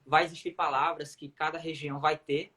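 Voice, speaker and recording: A man's voice: on the high side, little pitch movement, fairly quick, bright, forceful tone. A man with a Brazilian accent, a native Portuguese speaker, explaining things emphatically in a casual livestream chat. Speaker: self-taught English coach on a livestream.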